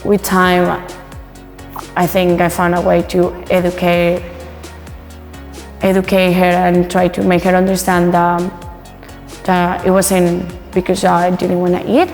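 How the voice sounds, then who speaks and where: A woman's voice: on the low side, monotone, measured pace, long pauses, darkish tone, long reverb tail, soft background music. A woman from Colombia, a native Spanish speaker with a Colombian accent, casually sharing personal stories and cooking as a guest. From Bogota, Colombia. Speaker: guest and home cook.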